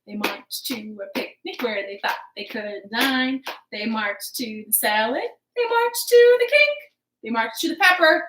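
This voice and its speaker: Female children's storytime host: high-pitched, slow delivery, playful and encouraging, in an echoing room. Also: a little hiss or hum. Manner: animated, encouraging, playful exaggeration for small children. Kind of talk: children's storytime, rhymes and counting. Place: living room at home. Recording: roomy, echoing home recording.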